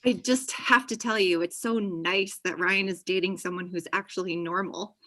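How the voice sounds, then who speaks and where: Actress as chatty, possessive best friend, thirty, female, bright forceful voice, comedy table read. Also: fairly low pitch, fairly even intonation, normal speed. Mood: gushing, teasing. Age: young adult. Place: indoor room on a video call. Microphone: video call.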